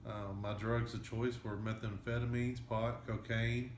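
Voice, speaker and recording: A man's voice: medium pitch, level tone, unhurried, slight background noise. A man in his thirties telling his story in a documentary interview, with frequent uh fillers. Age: 30s. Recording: echoey room.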